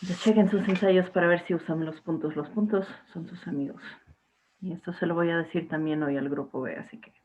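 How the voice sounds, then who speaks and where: A woman's voice: lowish voice, fairly even intonation, a little fast, darkish tone, a little hiss or hum. A young woman teacher, talking to her class casually, with fillers and restarts over a video call. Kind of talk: casual online class talk. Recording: tinny phone-like line.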